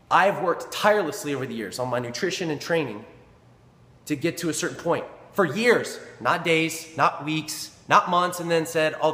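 A man's voice: on the high side, fairly bright tone. A man in his thirties, a personal trainer, giving an earnest, emphatic motivational talk to a camera in a small indoor gym. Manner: earnest, emphatic, repeats phrases for stress. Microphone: camera in front at close range. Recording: echoey gym with long reverb.

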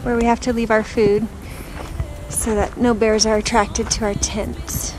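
A middle-aged woman chatting casually about her family's camping trip, relaxed and laughing between sentences, recorded outdoors on a camera. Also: fairly high pitch, a little slow, over music. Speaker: family camper filming a trip. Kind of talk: casual vlog narration. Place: outdoors on a mountain lakeside campsite. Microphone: action camera. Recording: crackling noise from a camera adaptor.

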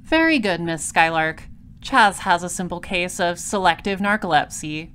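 A woman playing a shrewd, dry space patrol detective in an audio drama, explaining things deliberately. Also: lowish voice, animated, fairly bright tone, slight background noise. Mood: dry, sardonic.

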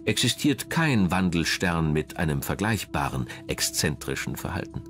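A man's voice: deep voice, very animated, moderate pace, soft background music. A man reading scripted documentary narration as the narrator.

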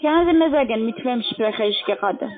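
Female call centre adviser, non-native speaker, fairly high voice, scripted pitch down a narrow phone line. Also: expressive, brisk. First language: not German.

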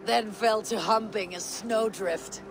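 A male actor playing a steady, wry young Viking warrior in cinematic game dialogue, with measured, deliberate phrasing. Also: sits high, gravelly, slight background noise, exaggerated voice. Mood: wry, playful.

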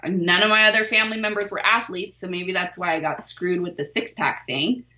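A woman's voice: on the low side, a little fast, bright, forceful tone, echoey room, lo-fi sound. A forty-year-old woman, a weightlifter, talking openly and self-deprecatingly as a podcast guest, laughing easily. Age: 40s. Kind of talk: candid podcast interview.

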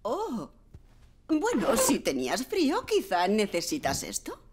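A doting cartoon mum. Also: female voice, medium pitch, huge pitch swings, unhurried, a little hiss or hum. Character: doting, chatty mother. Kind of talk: cartoon dubbing.

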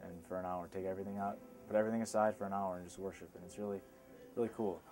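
Young man, deep, casual and upbeat, music bed. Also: little pitch movement, rapid-fire, darkish tone. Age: young adult. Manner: enthusiastic, casual. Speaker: student. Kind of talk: documentary interview.

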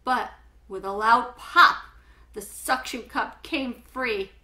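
A middle-aged woman narrates a children's book in a bright, forward voice that sits a little high. She phrases things clearly and tells the story in an animated, expressive way. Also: takes it slowly. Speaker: read-aloud storyteller. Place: small home living room, indoors.

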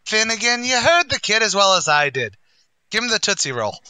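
A man's voice: high voice, very animated, a little fast, sharp tone, smooth, no echo, heavily acted. A man playing a blustering, wisecracking tavern manager who acts the grand quizmaster in a radio comedy. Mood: breezy, officious. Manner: mock-grand quizmaster, malapropisms. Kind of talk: old-time radio comedy acting.

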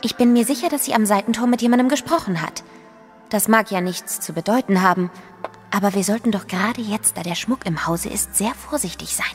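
A long hallway reverb and faint music surround an actress playing a dutiful young niece in an audio drama. She is watchful and earnest, with a medium-pitched voice. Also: fairly quick, natural voice. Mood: concerned. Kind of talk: German audio drama acting.

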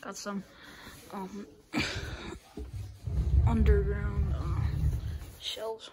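A woman's voice: medium pitch, flat delivery. Female voice listing rooms on a noisy phone. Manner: slow, short listing fragments. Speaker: vlogger giving a house tour. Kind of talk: casual house tour, naming rooms and objects. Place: indoors at home, walking through rooms. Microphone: handheld phone camera while walking. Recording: loud handling noise and clicks.

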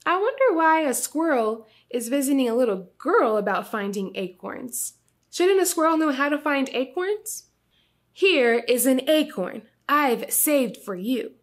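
A woman voicing a kind, generous little girl in a storybook, with a gentle, cheerful manner. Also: sits high, big pitch swings, measured pace, heavily acted. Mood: friendly.

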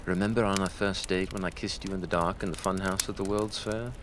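A man's voice: low-pitched, fairly even intonation, brisk, very noisy, close to an everyday voice. A man playing a cheeky, teasing séance guest of the 1920s in an audio drama.

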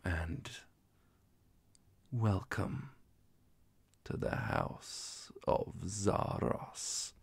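A man voicing a calm, formal vampire inquisitor in a soft-spoken, whispered ASMR roleplay, questioning in a measured, deliberate way. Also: deep voice, vocal fry, slightly rough, no room sound, full character voice. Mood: grave, authoritative. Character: calm, formal male vampire inquisitor, centuries-old authority.

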